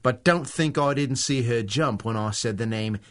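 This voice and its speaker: Male actor as a blunt outsider, quietly threatening; radio play. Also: medium pitch, normal speed, close to an everyday voice. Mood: tense. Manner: blunt, menacing. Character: blunt male Australian visitor from another world. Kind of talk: radio drama acting.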